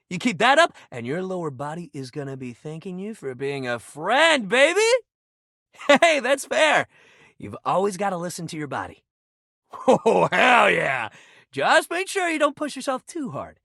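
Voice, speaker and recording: An actor playing an eager, upbeat sports-loving gym buddy in a video game, talking like a bro-ish coach who is anxious to please. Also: male voice, very high voice, bright, forceful tone, no echo, clean studio sound, heavily acted. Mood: hyped up, then warm, encouraging. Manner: bro-ish, encouraging coach talk with casual slang. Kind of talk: animated video game voice acting.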